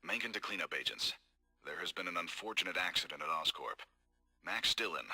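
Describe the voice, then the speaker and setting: A man voicing a cold, scheming corporate executive in game audio logs, calm, measured and formal. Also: low-pitched, husky, heavily acted, low-quality recording. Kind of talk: recorded game audio log.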